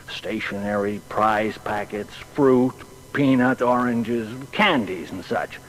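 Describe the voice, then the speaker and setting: A man's voice: medium pitch, slight background noise, rough recording. An actor playing a folksy, plain-spoken man who hesitantly recalls his boyhood friend in a dramatised biography. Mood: fond, musing. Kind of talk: dramatised witness reminiscence.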